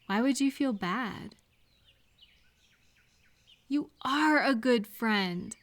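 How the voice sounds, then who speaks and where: A woman plays a supportive female friend in a casual, intimate audio roleplay. Her voice sits a little high and she speaks slowly. She is gentle and reassuring, talking straight to the listener. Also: lively intonation. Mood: calm, reassuring.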